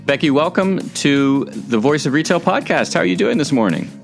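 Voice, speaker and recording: A man's voice: mid-range voice, expressive, normal speed, gravelly, faint music behind. A man hosting a podcast interview, chatty and friendly, with frequent filler words. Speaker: podcast host.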